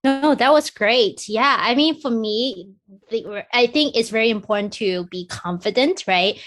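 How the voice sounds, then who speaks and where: A woman who is not a native English speaker, a hiring manager giving loud, emphatic advice on a casual panel over a video call. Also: on the high side, measured pace.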